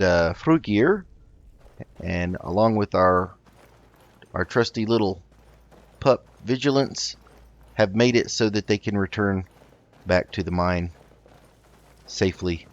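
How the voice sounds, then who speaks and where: A man's voice: fairly low pitch, no room sound. A man hosting a casual roleplay let's play, chatting and thinking aloud as he goes. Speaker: gaming video host. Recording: slightly dull mic, game music underneath. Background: faint game music.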